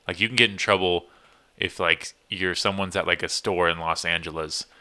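A man's voice: low voice, steady tone, normal speed, pushed and bright. A young man in casual chat, trading dry jokes and chuckling between remarks.

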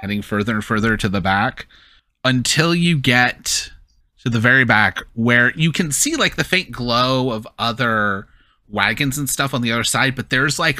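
A man's voice: medium pitch, sharp tone, no room sound. A man narrating as game master for a tabletop game, in a casual, rambling way full of fillers.

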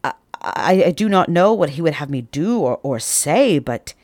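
A woman's voice: lowish voice, animated, normal speed, dry recording. A woman voicing a poor, anxious young man who stammers and frets. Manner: stammering, fretful.

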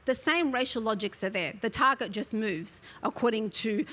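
A woman, speaking as an interview guest who talks about her book in an articulate, earnest way and often fills pauses with "you know". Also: on the high side, lively intonation, bright, forceful tone. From Australia. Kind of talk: conversational interview.

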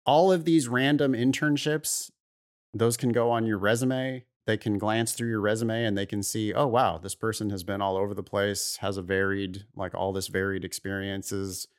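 A man's voice: on the low side, steady tone, normal speed. A man co-hosting an advice podcast, talking casually and giving blunt, opinionated takes. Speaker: podcast host.

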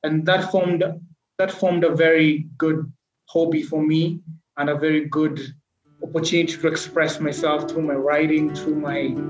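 A young man tells his own story in an earnest, measured way. His voice sits a little high and stays flat, with little rise and fall. The recording is echoey and dull, like a roomy lo-fi mic. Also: soft background music. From Kenya. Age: 20s. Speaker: podcast guest. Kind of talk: personal storytelling in an interview.

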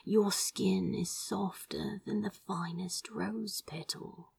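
A woman's voice: medium pitch, steady tone, slow pace, a little mellow, dry recording, far from the mic, exaggerated voice. Woman voicing a murmuring, besotted male sorcerer. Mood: adoring. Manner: breathy, murmured, half-whispered. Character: besotted male sorcerer, teasing, tender and poetic lover.

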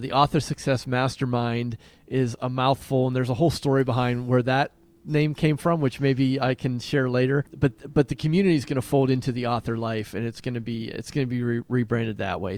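A man's voice: medium pitch, a little mellow, dry recording. A man co-hosting a podcast in a casual, conversational style. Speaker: podcast co-host.

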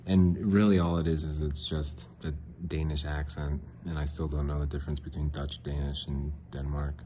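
A man hosting a casual solo podcast, rambling and self-mocking, clearing his throat often and answering his own questions. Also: extremely low pitch, level tone, moderate pace, warm tone, slight background noise. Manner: frequent throat clearing and restarts. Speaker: podcast host.

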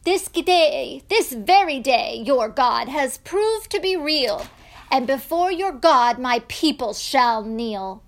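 A woman's voice: high-pitched, expressive, takes it slowly, full character voice. A woman voicing a proud, emotional ancient king in a children's story, with emphatic, declamatory delivery. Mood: amazed, joyful. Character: proud male ancient king, emotional, devoted to his friend.

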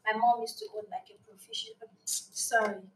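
A woman's voice: on the high side, very animated, moderate pace. A young Nigerian woman with a Yoruba first language and a Nigerian accent, chatting casually and animatedly, laughing often and using many fillers. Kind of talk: casual chat. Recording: roomy sound, distant mic.

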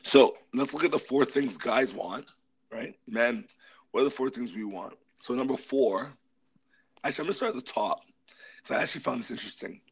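A man co-hosting a casual podcast discussion, hoarse from a cold, talking through list points with whispery asides. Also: medium pitch, brisk, low-quality recording. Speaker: podcast co-host.

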